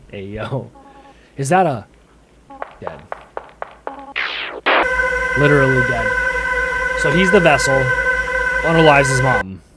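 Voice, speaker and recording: A man's voice: mid-range voice, expressive, slow, pauses often. Slangy man reacting, noisy recording. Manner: slangy, exclamatory interjections. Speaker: reaction video host. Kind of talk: casual live reaction commentary.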